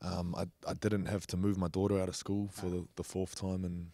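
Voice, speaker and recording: A man's voice: deep voice, level tone, fairly quick, dark tone, dry recording. A man in his thirties, a podcast guest chatting bluntly and jokingly into a handheld mic in a studio. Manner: blunt, joking, laid-back. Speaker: podcast guest, rugby league player. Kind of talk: casual chat. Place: studio set on a sofa.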